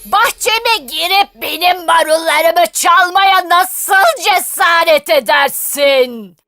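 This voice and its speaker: Brief: a menacing cartoon witch, high and bright, very slow threats, dry studio with music. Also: exaggerated voice. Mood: stern threatening. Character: harsh menacing old female witch. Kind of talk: cartoon voice acting.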